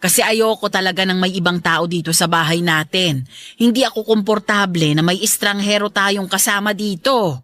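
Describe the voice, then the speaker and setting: A woman's voice: mid-range voice, moderate pace, a bit bright, dry recording, close to an everyday voice. A woman playing an aging, protective and stubborn but loving Filipino mother in a radio drama, chatty and firm in a motherly way. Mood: firm, wary.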